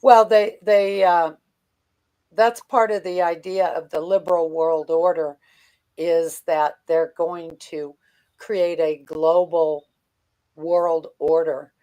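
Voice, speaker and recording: Older female American guest commentator. Unhurried, halting, blunt delivery in a slightly low register. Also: mellow, poor audio. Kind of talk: conversational political commentary.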